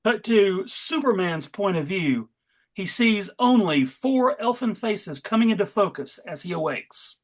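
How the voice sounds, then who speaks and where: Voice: medium pitch, expressive, measured pace. A narrator reading a comic book aloud dramatically, with wry asides.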